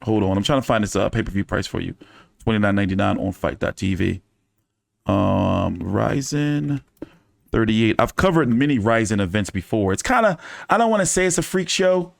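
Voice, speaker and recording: A man's voice: on the low side, lively intonation, normal speed, gravelly, dry recording. A man hosting a casual fight-commentary live stream, talking in loose, profane, joking banter. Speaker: boxing commentary host.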